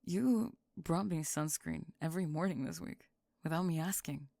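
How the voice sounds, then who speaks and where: A woman voicing a guarded, dry-witted goth high school girl in an ASMR roleplay, terse, reluctant and deadpan. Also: normal speed, gravelly, no echo. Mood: exasperated, confessing. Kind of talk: ASMR roleplay audio drama.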